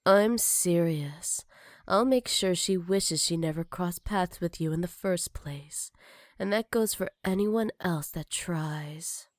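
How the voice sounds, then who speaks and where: A woman's voice: fairly low pitch, slow pace, no echo, clean studio sound. A woman playing a jealous, flirty female villain in an intimate ASMR roleplay, speaking breathily and teasingly and often slipping into whispers. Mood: jealous, menacing.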